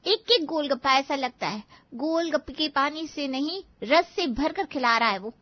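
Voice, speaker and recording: Woman acting high-pitched cheeky college girl. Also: normal speed, highs cut off, close to an everyday voice. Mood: gushing boastful. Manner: gushing, dismissive, cheeky. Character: cheeky, spoiled college-age sister-in-law who loves snacks. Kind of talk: animated family story acting.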